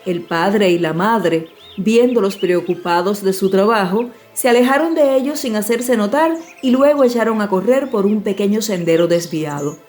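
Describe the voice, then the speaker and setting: A woman narrating a fairy tale as a scripted audiobook, in a measured, expressive storytelling manner. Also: medium pitch, faint music behind.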